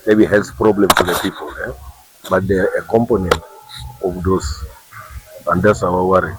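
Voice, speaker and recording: A man with a Ugandan English accent and a very deep voice speaks as an official raising awareness. He explains point by point, repeating words and checking in with a quick "eh?". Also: fairly even intonation, low-quality recording. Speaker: government official. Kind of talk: awareness talk to workers.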